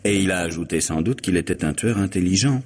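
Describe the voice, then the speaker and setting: Urbane radio-play lawyer, deep voice with rasp, formal diction, composed and inquisitive. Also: male voice, expressive, darkish tone. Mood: probing, composed. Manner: measured, formal, questioning. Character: urbane, probing male lawyer and family friend. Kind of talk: vintage French radio drama acting.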